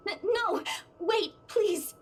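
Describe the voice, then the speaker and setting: An actress playing a shaken, withdrawn young office worker in a horror game, speaking hesitantly. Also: female voice, very high voice. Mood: panicked. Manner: hesitant, subdued, halting. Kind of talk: visual novel game voice acting.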